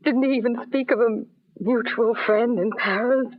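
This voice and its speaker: An actress playing a frightened, distraught wife in an old-time radio melodrama, with faltering, fearful delivery. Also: female voice, a little high, steady tone, moderate pace, natural voice. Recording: dull, lo-fi old radio recording.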